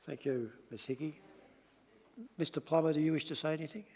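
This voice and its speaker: A man's voice: a little high, fairly even intonation, fairly quick, a little mellow. A man chairing a council meeting, giving brief procedural prompts. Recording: long reverb, set back from the mic.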